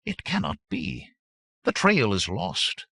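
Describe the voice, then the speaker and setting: A man's voice: on the low side, huge pitch swings, takes it slowly, gravelly, pushed and bright, slightly rough, dry recording, clean studio sound, pitched above the performer's own voice, exaggerated voice. A man voicing a nervous, cowardly bandit tracker in an audiobook, protesting fearfully. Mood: nervous, defensive. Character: nervous, cowardly, pinch-faced male bandit tracker, defensive.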